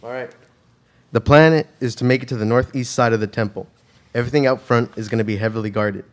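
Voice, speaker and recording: A man's voice: mid-range voice, fast talker, lightly acted. A student actor playing an eager, easygoing male ex-treasure hunter and pilot in an amateur radio play. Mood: focused. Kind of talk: amateur radio theater acting.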